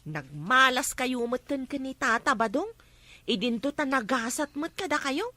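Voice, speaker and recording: Actress as a high-voiced fussing young villager. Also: female voice, sing-song, normal speed, bright, forceful tone, full character voice. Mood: concerned. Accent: Ilocano. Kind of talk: Ilocano comedy drama acting.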